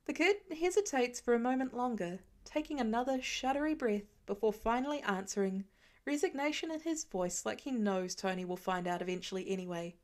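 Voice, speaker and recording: A woman narrating a fan story aloud in an expressive storytelling manner. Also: on the high side, brisk. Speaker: podfic reader. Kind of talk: audiobook fan reading.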